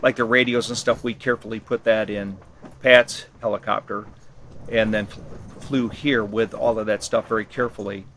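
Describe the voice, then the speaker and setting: Low-voiced man chatting over clear background noise. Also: steady tone, normal speed, fairly bright tone. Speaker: interviewee, ham radio expedition team member. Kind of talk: casual interview account. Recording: clearly noisy location sound.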